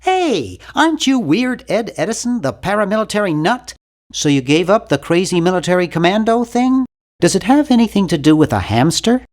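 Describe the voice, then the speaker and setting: A man's voice: high-pitched, very animated, moderate pace. A man playing an earnest, awkward, nerdy young cartoon hero in an adventure game, with a nasal, geeky, carefully worded delivery. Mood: chatty, awkward. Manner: geeky, over-articulate, earnest. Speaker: video game voice actor. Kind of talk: animated adventure game character lines.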